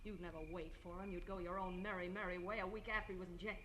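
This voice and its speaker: A woman's voice: lowish voice, steady tone, a bit bright, lightly acted. A woman playing a jealous, ruthless murderous wife in a 1940s radio crime melodrama, bitter and intense. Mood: bitter, menacing. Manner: bitter, intense, rapid-fire. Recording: noisy old radio drama recording.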